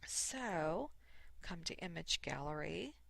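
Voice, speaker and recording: Woman doing a casual craft how-to on her computer, bit stuffy from allergies, fry in her voice, really dry sound. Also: deep voice, lively intonation, a bit bright, no echo. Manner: thinks aloud in short, halting steps. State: sniffly from allergies. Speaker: craft tutorial host. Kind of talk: casual unscripted software walkthrough. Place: voice-over recorded indoors at a computer.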